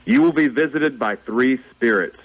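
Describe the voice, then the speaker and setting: A doom-laden male ghost, acted by a man in a radio drama. He laments and warns in a solemn, declamatory way, at a middle pitch. The broadcast sound is a little dull. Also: normal speed, close to an everyday voice. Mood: solemn warning. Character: mournful, doom-laden male ghost of a dead business partner. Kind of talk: theatrical radio play acting.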